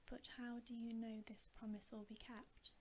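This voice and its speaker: A woman's voice: fairly high pitch, little pitch movement, moderate pace, darkish tone, a little hiss or hum, close to an everyday voice. A woman voicing a proud, menacing witch queen in a whispered ASMR bedtime story. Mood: suspicious.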